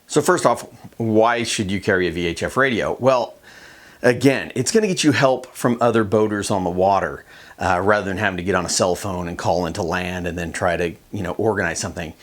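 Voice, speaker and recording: A middle-aged man with an American accent gives informal, conversational instruction, unscripted and with hesitant pauses. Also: deep voice. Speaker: fishing show host and instructor.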